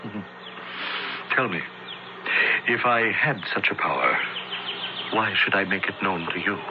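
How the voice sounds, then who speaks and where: A deep-voiced, crafty pagan high priest in an old radio drama. He speaks with deliberate, theatrical insinuation, sounding smooth and powerful. The muffled recording is loud with noise. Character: sly, smooth-talking, powerful pagan high priest, master of dark knowledge. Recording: dull, very noisy old radio broadcast.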